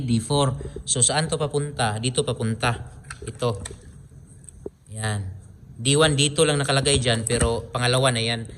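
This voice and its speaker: Casting: Filipino man, mid-pitched, informal how-to presenter, repetitive step-by-step delivery, some noise behind him. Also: fairly bright tone. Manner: repetitive, step-by-step explaining with frequent pointing phrases. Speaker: DIY electronics tutorial host. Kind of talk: informal hands-on wiring demonstration.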